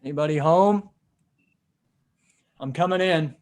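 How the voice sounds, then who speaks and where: A man playing a steady, decent small-town deputy and survivor in a casual dramatic table read over a video call. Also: a little high, measured pace, background noise, natural voice. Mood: nervous, pleading. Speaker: actor in an amateur table read. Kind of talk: dramatic script reading.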